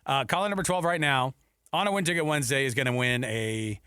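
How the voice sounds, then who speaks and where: A man's voice: medium pitch, fast, bright, forceful tone, clean tone, no echo. A man hosting a sports radio show with casual, teasing banter. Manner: playful, teasing.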